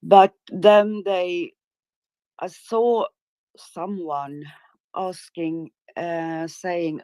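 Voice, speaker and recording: A middle-aged woman from northern Sweden speaks English with a Swedish accent, slowly and carefully as a second language. Her voice is low for a woman and very unhurried, with hesitant pauses. The sound is dry and close, with no room echo. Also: fairly even intonation, dark tone. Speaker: caller. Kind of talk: casual chat.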